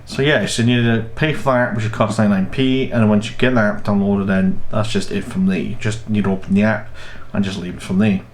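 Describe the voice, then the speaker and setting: Young guy doing a casual how-to, lowish voice, keeps repeating himself, bit of noise in the room. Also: level tone, fast, few pauses. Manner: rambling, repeats and restarts phrases. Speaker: host of a tech tutorial channel. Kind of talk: casual step-by-step tutorial. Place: small room at home, indoors. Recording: noticeable background noise.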